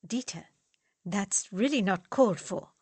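Woman reading a soft-spoken young male student, slow and steady. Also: medium pitch, breathy edge, no room sound. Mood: calm. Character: earnest young male student, calm peacemaker.

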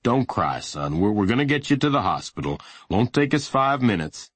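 A man voicing a big, folksy policeman in a storybook, steady and reassuring in his manner. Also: fairly low pitch, moderate pace, breathy edge, dry recording, exaggerated voice.

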